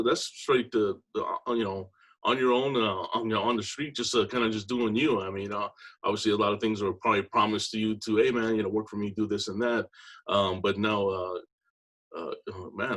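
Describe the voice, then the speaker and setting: A man hosts a casual interview, halting often on "uh" and calling his guest "man". His voice sits low. He keeps it loose and conversational. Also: fairly bright tone, slightly rough, low-quality recording. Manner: halting, heavy "uh" fillers, friendly "man". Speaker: interview host.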